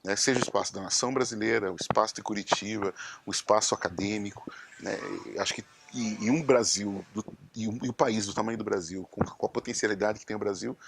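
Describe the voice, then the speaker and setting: A man's voice: on the low side, vocal fry. A man speaking earnestly and measuredly in an interview, in the manner of an academic. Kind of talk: reflective interview commentary. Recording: noisy background with clicks. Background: noise and clicks.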